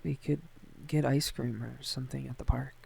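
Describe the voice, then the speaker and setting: A man playing a shy, tender, awkward young man, a friend's older brother, in an intimate ASMR roleplay, speaking softly and hesitantly with a stammer. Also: mid-range voice, steady tone, moderate pace, creaky, breathy edge, heavily acted. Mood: flustered, nervous. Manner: hesitant, stammering, gently teasing.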